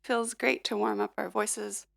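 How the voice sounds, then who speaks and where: A woman hosting a livestream, gently talking viewers through a vocal warm-up at her piano with a slightly froggy morning voice. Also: mid-range voice, level tone, measured pace, creaky. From Filipina-American. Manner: gentle, encouraging, instructive. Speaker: singer-songwriter and livestream host. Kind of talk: casual vocal warm-up coaching.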